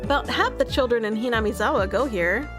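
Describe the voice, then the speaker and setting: A woman's voice: on the high side, a little fast, over music. A woman live streaming, reading a story game aloud and chatting in a playful manner.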